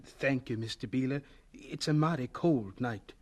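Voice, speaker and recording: An actor playing a young hired hand of about twenty in a radio drama, polite, reserved and hesitant. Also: male voice, mid-range voice, a little slow, a little mellow, natural voice. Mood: polite, subdued. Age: young adult. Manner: deferential, hesitant, subdued.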